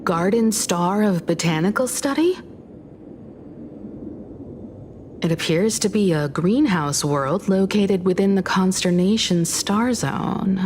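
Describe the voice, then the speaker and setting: A woman's voice: medium pitch, animated, measured pace, few pauses, darkish tone, slight background noise, close to an everyday voice. An actress voicing a composed, methodical young female investigator in a video game. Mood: calm, focused.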